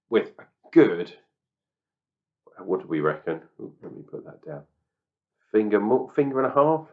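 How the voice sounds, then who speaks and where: A man, husky and crackly from a cold, chatting casually through a beer review. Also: lowish voice. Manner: chatty and self-deprecating, muttering asides. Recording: slightly dull lo-fi sound.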